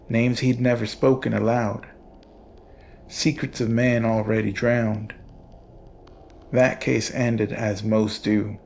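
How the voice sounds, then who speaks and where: Man playing a slow, gravelly, brooding investigator. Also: medium pitch, a little mellow. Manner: somber, measured, literary storytelling. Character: brooding, world-weary male paranormal investigator. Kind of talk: scripted first-person horror narration. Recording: dull audio, faint noise.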